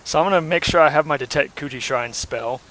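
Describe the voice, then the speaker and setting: A hoarse young man giving casual, rambling commentary while playing a game, with lots of ums and uhs. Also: fairly high pitch, fast talker, fairly bright tone. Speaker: let's play host.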